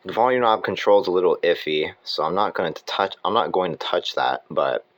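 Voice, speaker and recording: Low-voiced man giving a casual demo. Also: a little fast. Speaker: hobbyist presenter demonstrating equipment. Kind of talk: casual hands-on demonstration. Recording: clear background noise.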